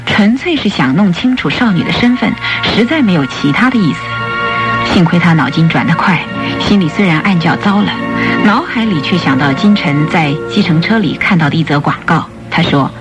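A woman's voice: lowish voice, lively intonation, moderate pace. A woman narrating a radio drama in a scripted storytelling style. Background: music.

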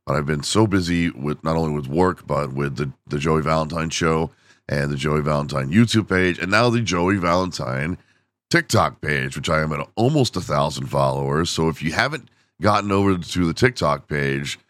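A man's voice: rumbling low, expressive, normal speed, a bit bright, dry recording, studio quality. A man hosting a casual solo podcast, rambling and self-deprecating with frequent laughs, and losing his voice from overuse. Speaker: podcast host.

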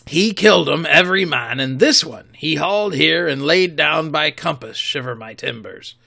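A man voicing a cunning, commanding old pirate cook who talks in gruff sailor's slang, loud and blustering. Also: on the high side, expressive, normal speed, heavily acted.

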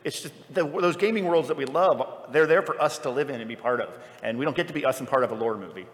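A man's voice: mid-range voice, fast. A man making points conversationally in a live panel discussion. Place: large room at a convention. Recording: echoey hall, live panel recording.

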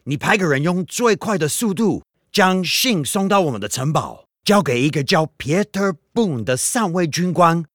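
An actor in a radio drama playing a worried, devout European ally, speaking earnestly and haltingly. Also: medium pitch, animated, a bit bright, no room sound, studio quality. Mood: urgent.

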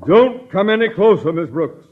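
An actor playing a pompous, irritable middle-aged school principal in an old radio comedy, stern and exasperated. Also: male voice, sits high, very animated, moderate pace, background noise. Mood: alarmed. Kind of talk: 1940s-50s radio comedy acting. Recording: tinny, band-limited old radio recording.

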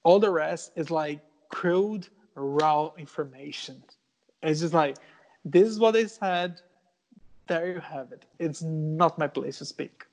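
A man's voice: on the high side, takes it slowly, a little mellow, low-quality recording. A young Brazilian man, a Portuguese speaker, talking earnestly in a casual interview, with many fillers and restarts. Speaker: guest artist.

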